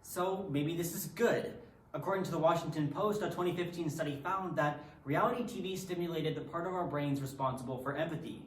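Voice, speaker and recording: A man's voice: fairly high pitch, a little fast, slight background noise, lightly acted. A man performing in a readers theatre piece with rehearsed, theatrical delivery. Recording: echoey room, distant from microphone.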